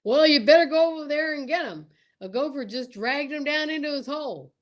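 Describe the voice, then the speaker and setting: A woman's voice: high voice, expressive, brisk. A woman playing a folksy male game warden with a cowboy drawl in an old-time radio comedy. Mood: folksy, deadpan.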